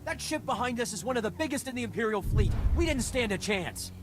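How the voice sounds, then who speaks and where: A man's voice: very high voice, lively intonation, fast. An actor playing a worried male starship officer, grave and earnest, in a sci-fi video game. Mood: alarmed. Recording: faint music behind the voice.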